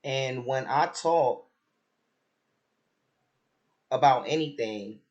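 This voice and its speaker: A man with a raspy voice who has just woken up, chatting casually about a TV episode with lots of fillers and restarts. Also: mid-range voice, drawn-out words, lo-fi sound. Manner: relaxed, rambling, many fillers and restarts. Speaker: reality TV commentator.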